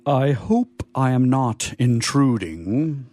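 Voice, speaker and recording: A man's voice: mid-range voice, lively intonation, takes it slowly, dark tone. A man acting a courteous, solemn guardian angel in a comedy radio play, formal and stately. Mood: grandly polite.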